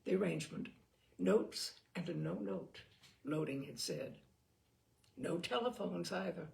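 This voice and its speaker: Expressive woman reading a novel, creaky voice. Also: on the low side, a little slow. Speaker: audiobook reader. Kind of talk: scripted narration of a novel.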